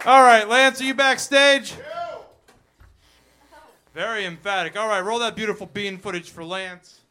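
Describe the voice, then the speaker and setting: Male comedy host, teasing brash emcee, high voice, bright tone. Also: unhurried, clear, resonant tone, a faint crowd. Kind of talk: emceeing a live show. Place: live comedy stage.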